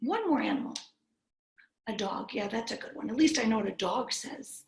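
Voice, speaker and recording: A woman's voice: a little high, expressive, normal speed, gravelly, roomy sound. A woman cantor leading a children's story time, talking simply to young listeners and asking them questions.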